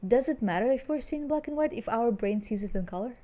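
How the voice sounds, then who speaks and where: A woman's voice: fairly high pitch, expressive, fairly quick, dark tone. A woman co-hosting a podcast in casual chat, speaking English as her second language, chatty and prone to tangents as she muses aloud. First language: not English. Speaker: podcast co-host.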